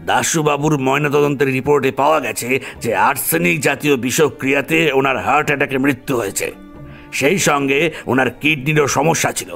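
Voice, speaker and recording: A man playing a matter-of-fact investigator in a Bengali audio drama, with a brisk delivery. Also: mid-range voice, creaky, fairly bright tone, faint music behind.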